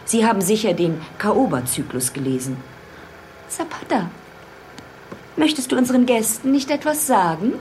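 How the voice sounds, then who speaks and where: An actress playing a proud elderly widow, reverently and emphatically guiding guests in a radio drama. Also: female voice, medium pitch, lively intonation, normal speed, pauses often, darkish tone, a little hiss or hum, close to an everyday voice. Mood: coaxing. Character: proud elderly widow showing her late writer husband's house. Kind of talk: radio drama acting as a house tour.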